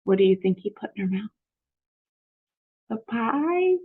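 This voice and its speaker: A woman reading a picture book aloud to children, expressive and explanatory, pausing to ask questions. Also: a little high, slightly rough. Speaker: children's story reader. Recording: muffled narrow phone-like audio.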